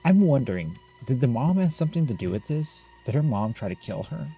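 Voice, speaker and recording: A man playing a game on a recording, reading its text aloud and thinking out loud with some hesitation. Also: medium pitch, very animated, fairly quick, slightly rough, faint music behind, rough recording. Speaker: gamer reading and reacting to a visual novel.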